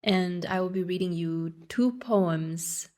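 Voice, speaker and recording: A young woman poet from Romania reads in English with a Romanian accent. She takes her time over each line, unhurried and deliberate, in a slightly low voice. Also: darkish tone. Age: young adult. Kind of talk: poetry reading.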